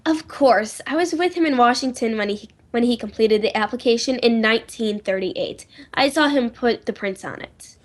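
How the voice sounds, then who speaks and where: A woman's voice: fairly high pitch, normal speed, hardly any pauses, natural voice. A woman playing an anxious, insistent well-to-do lady in old time radio drama style, urgent and faltering in manner. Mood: anxious, disbelieving.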